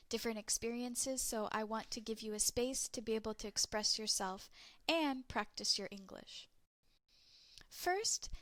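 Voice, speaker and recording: A woman teacher talks her students through a lesson at a slow, careful pace. Her voice sits a little high. The recording is close and dry, with no room sound. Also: fairly bright tone, dry recording. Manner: slow, clear teacher talk explaining step by step. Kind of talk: recorded class lesson for English learners.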